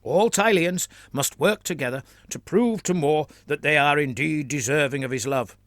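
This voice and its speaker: A man's voice: fairly high pitch, moderate pace, no room sound, natural voice. Brief: a man doing a fervent senior priest, insistent and preachy, dry close sound. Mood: urgent. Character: stern zealous male priest, pleading for war.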